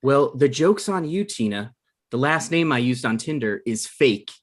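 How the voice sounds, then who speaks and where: A man's voice: mid-range voice, expressive, moderate pace, close to an everyday voice. A man playing an insecure, defensive aspiring comedy writer in his thirties at a casual table read. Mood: defiant. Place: remote online table read over internet.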